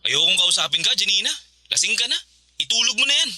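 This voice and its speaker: An actor playing an irritated, weary boyfriend in a radio drama, curt and exasperated. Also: male voice, high-pitched, very animated, fast, bright, forceful tone, no echo, heavily acted. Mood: annoyed. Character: irritated, weary boyfriend brushing off drunk lover. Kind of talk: Filipino radio drama acting.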